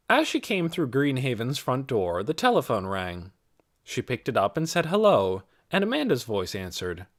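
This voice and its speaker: Audiobook narrator with a lively pitch range. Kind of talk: scripted literary narration.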